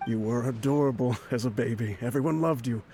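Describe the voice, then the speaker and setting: A frail older man, played by an actor speaks his farewell letter in a dry, wry tone, slipping in soft laughs. His voice sits at an ordinary pitch for a man, with a hint of noise behind it, as in a game cutscene. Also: a little fast, lightly acted. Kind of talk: game voice acting.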